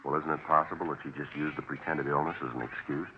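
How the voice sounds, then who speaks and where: An actor playing a terse, deadpan American police detective in a 1950s radio drama, on a muffled, hissy recording. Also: male voice, very deep voice, fast talker. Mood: businesslike. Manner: clipped, deadpan, matter-of-fact. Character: terse, businesslike male police detective sergeant.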